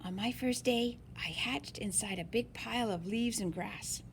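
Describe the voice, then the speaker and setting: A woman reading a picture book aloud in an expressive, deliberate way for young listeners. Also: medium pitch, slight background noise. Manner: slow, deliberate, animated phrasing for young listeners. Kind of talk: expressive children's read-aloud.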